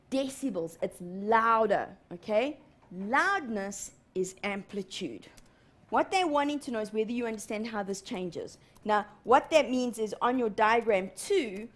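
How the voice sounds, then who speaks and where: A woman in her thirties teaching a live televised lesson in a studio, animated and often checking in with "okay?". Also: on the high side. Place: TV studio in front of a large screen.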